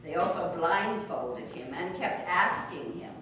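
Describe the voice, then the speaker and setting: A woman reading scripture narration in a measured, deliberate way during a church service. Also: on the low side, expressive. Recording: very echoey church, distant mic, noisy.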